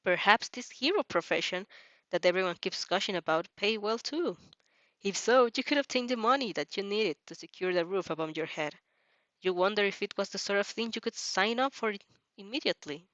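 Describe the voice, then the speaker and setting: A woman's voice: mid-range voice, fairly bright tone, dry recording, highs cut off. A woman reading a story aloud in a casual way, stumbling now and then and adding giggly asides. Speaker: reader reacting to fan fiction.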